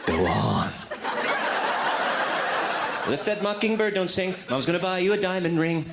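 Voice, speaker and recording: A man's voice: high voice, sing-song, unhurried, creaky, very noisy. A man doing a stand-up comedy routine with mock-incredulous questioning. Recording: echoey live stage, loud music.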